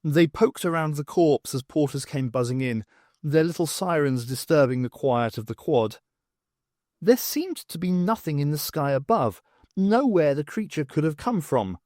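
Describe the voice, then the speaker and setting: A man narrates an audiobook in a fairly high-pitched voice. His delivery is very lively and varied in pitch, reading scripted prose as a storyteller. The sound is close and dry. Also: normal speed, dry recording.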